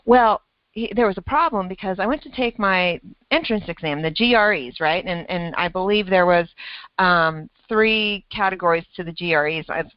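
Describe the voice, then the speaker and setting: Animated woman telling a story on a call. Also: on the low side, normal speed, no echo, dull audio. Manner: animated storyteller, laughs often, acts out her own thoughts. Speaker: weight loss coach and host. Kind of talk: casual conversation telling a story.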